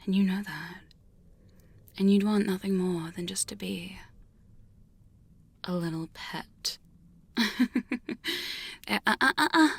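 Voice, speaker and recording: Breathy, taunting vampire huntress acted by a woman. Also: lowish voice, dry recording, heavily acted. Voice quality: breathy, whispery. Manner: taunting, intimate, drawn-out phrasing with sudden firmer lines. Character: defiant, teasing female vampire hunter, sly and coaxing. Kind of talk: ASMR hypnosis roleplay, second-person. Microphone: close-up studio condenser and binaural microphone.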